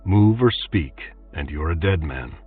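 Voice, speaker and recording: A man voicing a cool-headed male swordsman in a storybook reading, speaking calmly and with quiet menace. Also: low-pitched, unhurried, breathy edge, recorded from a distance, exaggerated voice. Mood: quietly menacing. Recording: tinny phone-like audio, faint music.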